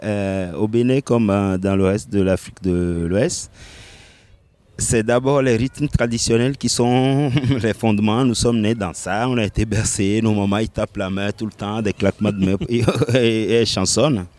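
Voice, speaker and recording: A man from Benin speaking in a lowish voice, answering at an unhurried pace. He pauses often, fills gaps and chuckles softly as he explains. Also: steady tone. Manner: unhurried, many hesitations, laughs often. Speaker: reggae musician. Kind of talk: interview answers.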